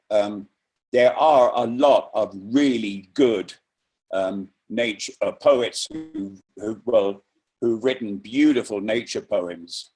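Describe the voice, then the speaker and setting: A man talking informally and reflectively on a video call, unscripted, pausing and picking his words as he introduces something. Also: medium pitch, darkish tone. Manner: slow, reflective, halting with pauses. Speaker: poetry reader introducing an event.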